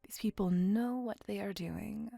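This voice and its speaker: A woman voicing an elegant, possessive, centuries-old female vampire in a soft, half-whispered ASMR roleplay, with formal, measured, old-fashioned phrasing. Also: mid-range voice, creaky, no echo, studio quality, faint music behind. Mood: refined, reassuring. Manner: formal, archaic, measured phrasing with tag questions.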